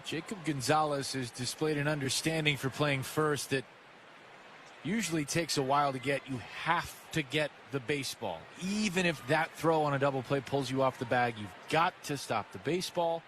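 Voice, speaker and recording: A man's voice: a little high, moderate pace, fairly bright tone. A man giving conversational baseball analysis on the radio, explaining plays with emphatic phrasing. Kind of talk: live analysis and conversational commentary. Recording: noisy ballpark ambience behind voice. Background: outdoor ballpark noise.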